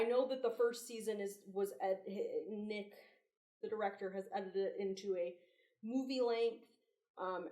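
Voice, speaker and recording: A woman's voice: medium pitch, darkish tone, reverberant. A woman chatting casually as a video host giving commentary, playful and teasing, with the odd mild swear. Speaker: YouTube host reviewing analog horror.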